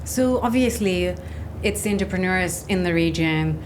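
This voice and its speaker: A woman's voice: lowish voice, animated, moderate pace, a little hiss or hum, poor audio. A woman hosting a podcast interview in a conversational way, putting questions to a guest.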